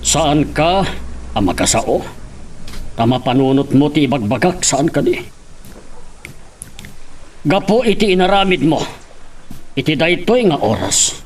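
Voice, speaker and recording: A man's voice: fairly high pitch, very animated, a little slow, long pauses, slight background noise. A man playing a stern, protective middle-aged father in an Ilocano radio drama, with a Filipino Ilocano accent.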